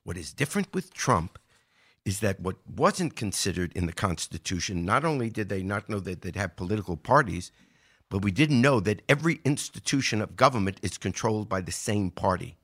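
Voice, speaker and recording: Bright-voiced American man explaining politics. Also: fairly low pitch, animated, brisk, husky. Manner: explanatory, emphatic, rhetorical questions. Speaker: podcast host giving political commentary. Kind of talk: conversational political commentary.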